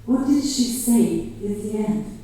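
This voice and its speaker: A woman's voice: mid-range voice, animated, takes it slowly, mellow. A woman from Germany asking a rambling, earnest question from the floor at a public debate, with many fillers. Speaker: audience member asking a question. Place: large university auditorium. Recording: echoey hall, voice from far off.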